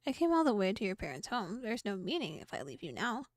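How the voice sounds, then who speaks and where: A woman's voice: mid-range voice, big pitch swings, fast, no room sound. A woman voicing a tender, teasing young male demon who feeds on fear, from a horror romance visual novel.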